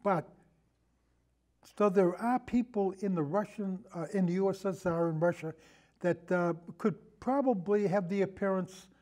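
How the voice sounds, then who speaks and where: A man in a casual film discussion, telling anecdotes in a halting, rambling way and often repeating his phrases. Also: sits high, warm tone. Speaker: podcast guest, film discussion.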